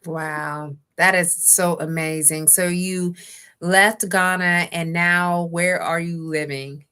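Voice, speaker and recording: A woman's voice: on the low side, fairly even intonation, slow, bright, forceful tone, smooth. A woman hosting a talk show, interviewing in a chatty, conversational way and addressing viewers directly. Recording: live online stream.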